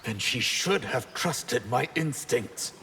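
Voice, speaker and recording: An actor playing a proud, grim, disgraced warrior of a fantasy empire in a fighting game, speaking in terse, stern, formal lines. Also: male voice, mid-range voice, lively intonation, takes it slowly, heavily acted. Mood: grim, defiant. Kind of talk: video game fighter intro dialogue. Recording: echoing hall, loud background noise.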